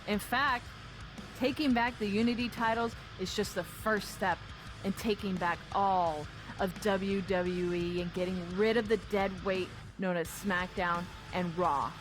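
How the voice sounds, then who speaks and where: A woman's voice: medium pitch, steady tone, measured pace, natural voice. Woman as taunting wrestling villainess over music. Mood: smug, threatening. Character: smug scheming female wrestling faction member, threatening and taunting. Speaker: voice actor in a wrestling video game. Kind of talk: scripted cutscene promo.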